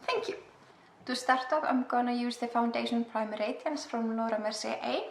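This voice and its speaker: Young woman, Icelandic accent, slightly high voice, gravelly fry, echoey room, faint hiss. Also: moderate pace. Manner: chatty, self-deprecating, giggly asides. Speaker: makeup vlogger. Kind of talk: casual makeup tutorial.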